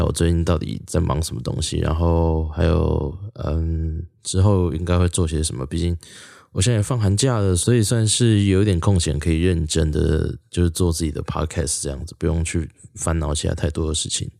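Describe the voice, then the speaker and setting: A man's voice: low voice, steady tone, a little fast, vocal fry, dark tone, no room sound, studio quality. A man in his early twenties with a Taiwanese accent, hosting a casual solo podcast in an informal, rambling, chatty way. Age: early 20s. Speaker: podcast host, university student.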